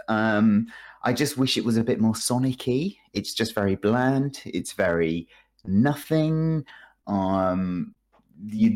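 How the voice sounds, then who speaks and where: A man's voice: mid-range voice, darkish tone. A man speaking as a podcast guest, giving casual, informal opinions in a hedging, self-deprecating, conversational way. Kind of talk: casual chat, informal game review.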